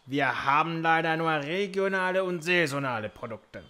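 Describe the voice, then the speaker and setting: A man's voice: fairly high pitch, normal speed, sharp tone. An actor playing an officious, scolding knight who serves as henchman in a comic audio play. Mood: snide. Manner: snide, prim, scolding. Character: officious, snide male knight, henchman to an overlord.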